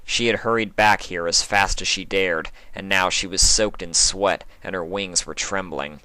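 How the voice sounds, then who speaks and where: A narrator giving a measured, expressive, dramatic reading of a fan fiction story. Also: sits low, clear, resonant tone, no room sound.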